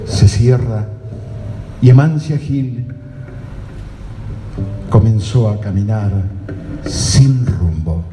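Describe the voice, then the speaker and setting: A man playing a solemn storyteller, narrating live radio theatre with dramatic pauses. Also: fairly low pitch, slight background noise. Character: solemn storyteller of a gaucho legend. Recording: echoey hall with loud music.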